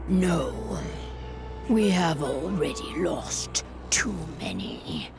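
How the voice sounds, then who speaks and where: A woman playing a grave, proud, wary pack leader in a video game, with a breathy, gravelly voice and solemn delivery. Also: low voice, sing-song, music in the background, full character voice. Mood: stern. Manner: deliberate, halting, solemn. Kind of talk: video game cutscene acting.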